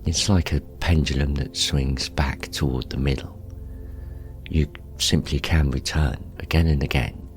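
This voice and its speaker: Really deep slow guy doing a guided meditation, soft calm manner, pauses a lot. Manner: calm, gentle, unhurried with pauses. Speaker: meditation guide, show host. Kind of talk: guided meditation, gently spoken instruction. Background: music.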